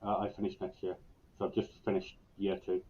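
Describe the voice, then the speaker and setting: Male student guest, reflective and earnest, lowish even voice, muffled lo-fi audio. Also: fairly quick, husky. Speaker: guest, university student in creative business. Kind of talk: personal conversational interview answers. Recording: dull, lo-fi online call audio.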